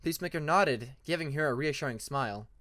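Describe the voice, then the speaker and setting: A man narrates a story in a fairly high male voice. He reads from a script as an amateur audiobook narrator, and the recording is dry with very little room sound. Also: brisk, bright, forceful tone, no echo.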